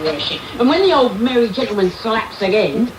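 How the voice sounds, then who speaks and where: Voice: medium pitch, sing-song, brisk, natural voice. An actor in a radio drama playing a cheeky young pickpocket with mock-grand showman patter. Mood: showy. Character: cocky young male London pickpocket, a showman. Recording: roomy, noisy medium-wave radio tape.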